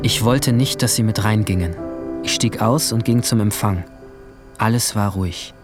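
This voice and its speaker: A young male actor plays a troubled teenage boy telling his own story in a radio play. The voice is low, restrained and sombre. Loud music runs underneath the narration. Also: normal speed, close to an everyday voice. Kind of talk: first-person radio drama narration.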